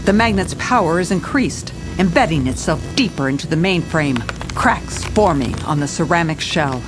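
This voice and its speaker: A woman's voice: deep voice, animated, moderate pace, over music, low-quality recording. A woman playing a level-headed police commissioner who narrates her case in a scripted audio drama. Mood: narrating, suspenseful.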